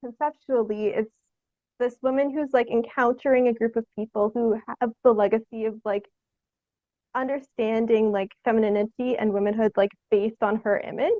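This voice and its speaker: A young woman from Canada answering interview questions casually, in a tentative, halting, giggly way. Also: a little high, fairly even intonation, no echo. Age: young adult. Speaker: guest, theatre artist. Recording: dry, dull lo-fi sound.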